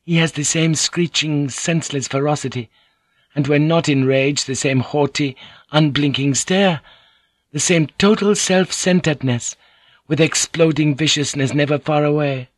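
A man's voice: a little high, normal speed, no room sound, voice pushed up, close to an everyday voice. A man reading an audiobook, voicing a polite, anxious young Japanese noblewoman who serves as interpreter. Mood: soothing. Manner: polite, soothing, earnest. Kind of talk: audiobook character voice.

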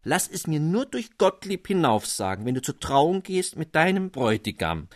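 A man's voice: fairly high pitch, big pitch swings, normal speed, dry recording, clean studio sound, pitched above the performer's own voice. A man voicing a reclusive older astronomer father with a stiff, old-fashioned way of speaking. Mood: curt.

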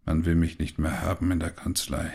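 An actor in a German radio drama playing a gloomy middle-aged father, halting and resigned, with a husky, gravelly voice. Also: very deep voice, level tone, a little mellow, full character voice. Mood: defeated.

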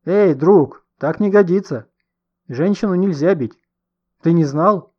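A man acts an elderly storybook gentleman stepping in, indignant and protective. The voice is firm, loud and raised in pitch, on a slightly muffled recording. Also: a little slow.

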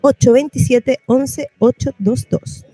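A woman's voice: sits high, fairly even intonation, slow, mellow, slightly rough, poor audio. A woman with a Chilean accent hosting an informal community radio show in a colloquial, familiar way. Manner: colloquial, addresses listeners familiarly. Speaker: radio host.

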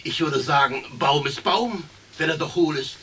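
An actor playing a blunt, matter-of-fact woodworker in a radio play, plain-spoken on a distant, hissy recording. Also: male voice, fairly high pitch, normal speed, bright, forceful tone. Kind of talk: stereo radio play acting.

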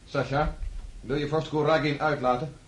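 A man's voice: on the high side, steady tone, moderate pace, very noisy, close to an everyday voice. A male actor playing a brooding wronged nobleman in a radio drama, curt and formal, holding on to strained politeness. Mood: angry.

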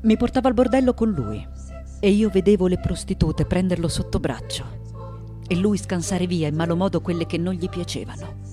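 A woman's voice: fairly low pitch, normal speed, faint music behind, close to an everyday voice. An actress playing an elderly, defiant, vengeful woman sculptor. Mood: wounded, resentful. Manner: intense, theatrical, swinging from hushed to loud. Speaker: actress in a radio play. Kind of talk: dramatic radio theatre monologue.

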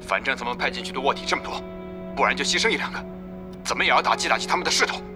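An actor playing a scheming, forceful male guild leader in an audio drama. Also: mid-range voice, expressive, racing, over music, natural voice. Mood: aggressive, scheming.

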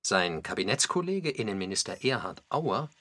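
A radio play narrator recounting history, deliberate and articulate. His voice is low and lively, with a husky grain. The delivery is scripted. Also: heavily acted. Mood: matter-of-fact. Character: male documentary narrator recounting postwar Munich history.

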